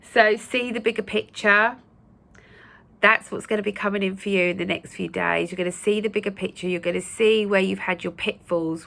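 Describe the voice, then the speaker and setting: Woman host, croaky and gravelly after a cold, lowish voice, gentle reassuring chat to viewers. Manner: gentle, reassuring, with many fillers. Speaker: spiritual channel host. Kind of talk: casual spoken talk to viewers.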